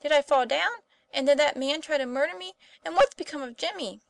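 A woman's voice: on the high side, sing-song, moderate pace, creaky, sharp tone, no room sound, pitched above the performer's own voice, exaggerated voice. A woman voicing a plucky, blunt young schoolboy in an audiobook, boyish and exclamatory in manner. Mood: puzzled, wondering. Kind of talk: audiobook character voice.

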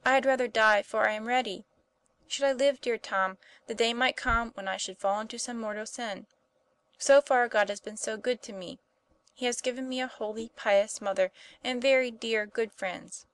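A woman voicing a gentle, pious young boy who lies wounded, speaking earnestly as an audiobook character. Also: a little high, moderate pace, no room sound. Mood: earnest, serene.

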